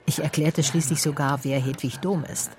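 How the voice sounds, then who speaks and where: An actress playing a brooding, persistent private detective in a radio drama, measured and reflective. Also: female voice, low-pitched, steady tone, noisy background, close to an everyday voice. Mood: reflective narration. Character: female private detective telling her own case, brooding, persistent. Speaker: narrator and lead. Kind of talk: radio drama first-person narration and dialogue.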